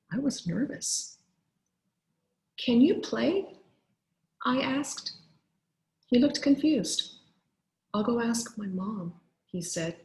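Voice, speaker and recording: A woman reading a children's story aloud expressively, like a teacher, with deliberate pauses for effect. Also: medium pitch, darkish tone. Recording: roomy, echoing sound.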